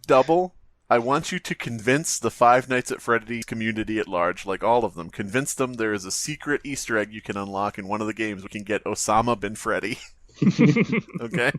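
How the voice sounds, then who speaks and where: A man's voice: fairly low pitch, brisk, fairly bright tone, dry recording. Jokey man podcasting in a dry room. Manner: jokey, often breaks into laughter. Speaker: podcast host. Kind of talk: casual comedy chat and mocking story reading.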